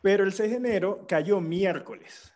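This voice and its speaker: Young Venezuelan man, casual co-host, slightly high voice, relaxed slangy delivery. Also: normal speed. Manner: relaxed storytelling with slang and restarts. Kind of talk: casual chat.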